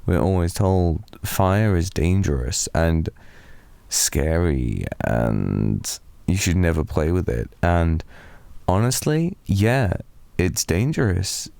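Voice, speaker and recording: A young British man improvising a sleepy boyfriend ASMR roleplay, softly rambling and slipping into whispers. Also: low-pitched, expressive, takes it slowly, gravelly, no room sound. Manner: soft, drowsy, rambling with slips into whispers. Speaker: ASMR performer.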